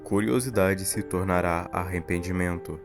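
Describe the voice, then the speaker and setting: A man's voice: sits low, moderate pace, music in the background. A man with a Brazilian accent, telling a horror story as scripted narration for an explainer video.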